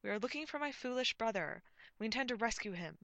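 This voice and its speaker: A woman's voice: on the low side, expressive, unhurried, creaky, pushed and bright, no room sound, lo-fi sound, heavily acted. A woman voicing a bold, prickly noblewoman who speaks with impatient authority in a fantasy story. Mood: imperious, impatient. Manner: authoritative, demanding. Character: prickly, imperious young noblewoman, bold and antagonistic.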